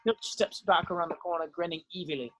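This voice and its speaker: A man's voice: on the high side, fast talker, bright, forceful tone, poor audio. A man narrating stage directions in an amateur screenplay table read.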